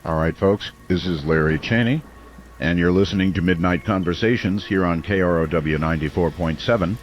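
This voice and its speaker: A man playing a folksy, intrigued late-night talk radio host with a confiding, unhurried manner. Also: low voice, natural voice. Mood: intrigued, uneasy. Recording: dull radio sound, faint rain noise.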